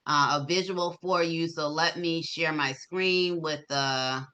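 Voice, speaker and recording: Female conference organizer, bright and projected voice, informal call presentation, instructive and encouraging, leans on repetition for emphasis. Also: low voice, steady tone, slow pace.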